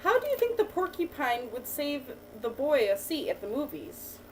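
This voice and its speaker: A woman teacher reading a picture book aloud to a child, pausing to ask questions and praise the answers. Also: high-pitched, sing-song. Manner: patient, encouraging, asks and praises. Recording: distant mic with faint background noise.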